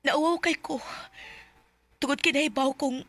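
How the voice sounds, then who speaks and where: High, bright-voiced confessing single mother. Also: steady tone, unhurried, no room sound. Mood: ashamed, confessional. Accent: Cebuano. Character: ashamed, resolute single mother confronting old friend. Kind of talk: Filipino Bisaya radio drama acting.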